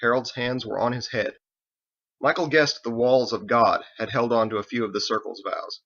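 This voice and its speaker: A man's voice: medium pitch, fairly even intonation, normal speed, a bit bright. A man reading scripted audiobook narration as the author of the story, on a slightly lo-fi recording. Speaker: author reading his own book.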